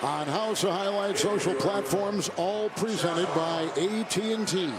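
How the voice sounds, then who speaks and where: A man's voice: sits high, measured pace. A man doing live play-by-play basketball commentary as an announcer. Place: basketball arena. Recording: loud crowd noise, ball bounces, game broadcast. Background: roaring arena crowd and bouncing basketball.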